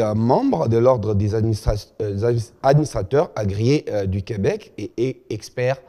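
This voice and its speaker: Hesitant talk show host. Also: male voice, lowish voice, mellow, big-room sound. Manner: measured, with frequent hesitations. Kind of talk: studio interview. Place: TV studio.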